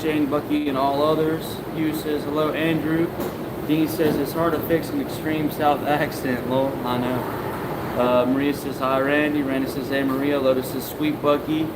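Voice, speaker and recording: A man hosting a casual live stream, reading viewer comments aloud in a relaxed, offhand way. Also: a little high. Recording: echoey workshop with loud background noise.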